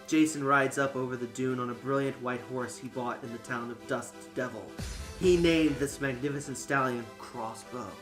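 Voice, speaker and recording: A man's voice: medium pitch, steady tone, normal speed, over music. A man reading scripted narration for an amateur fantasy reader's theater.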